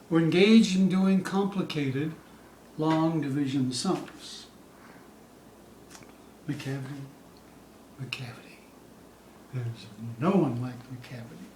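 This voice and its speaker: A man giving a theatrical poetry recital, dramatic and suspenseful, with drawn-out phrases moving between hushed dips and loud peaks. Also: on the high side. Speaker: poetry reader. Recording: distant, echoey room with faint hiss.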